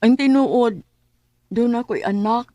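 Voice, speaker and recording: An actress playing a gentle young single mother in love in a radio drama, with a Filipino Bisaya accent. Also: a little high, takes it slowly, no echo, muffled recording. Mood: coy, hesitant.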